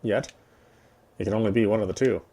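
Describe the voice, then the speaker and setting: A man's voice: lowish voice, fairly even intonation, brisk, warm tone, husky. A man reading visual novel narration aloud, sometimes stumbling and repeating phrases. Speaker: playthrough reader.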